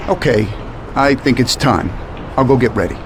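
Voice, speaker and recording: An actor playing a terse, pragmatic and loyal mob enforcer in a video game. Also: male voice, mid-range voice, normal speed, background noise, lightly acted. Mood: guarded. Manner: terse, matter-of-fact. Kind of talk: video game cutscene acting.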